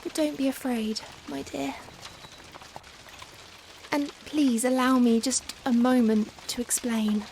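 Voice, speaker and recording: A woman playing a young, genteel Victorian vampire in a soft-spoken ASMR roleplay, with formal old-fashioned phrasing and hesitant pauses. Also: sits high, fairly even intonation. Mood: apologetic, soothing. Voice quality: soft-spoken, breathy in hushed moments. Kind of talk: ASMR roleplay, softly spoken dramatic monologue. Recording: faint rain-like background noise. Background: rain ambience.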